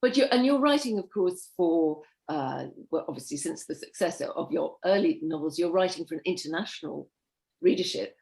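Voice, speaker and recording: A woman's voice: on the low side, expressive, moderate pace, creaky, a little mellow. A woman interviewer, attentive and probing as she poses her questions.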